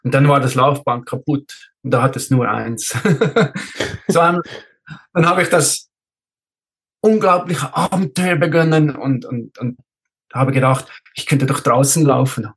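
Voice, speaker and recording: Swiss german guy telling stories casually, bit husky, keeps hunting for words, drops in english. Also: mid-range voice. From Switzerland. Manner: halting, searching for words, mixing in English. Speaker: interview guest, ultrarunner and race director. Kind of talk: casual chat, telling anecdotes.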